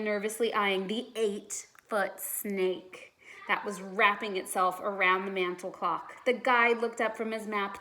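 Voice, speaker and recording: Expressive woman reading a storybook aloud. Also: medium pitch, slight background noise. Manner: expressive, dramatic emphasis.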